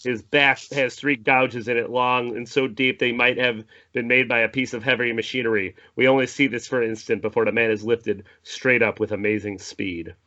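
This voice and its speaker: A man's voice: medium pitch, fairly even intonation, normal speed, hardly any pauses, bright, forceful tone, dull audio. A man reading stage directions as narrator in a scripted podcast table read.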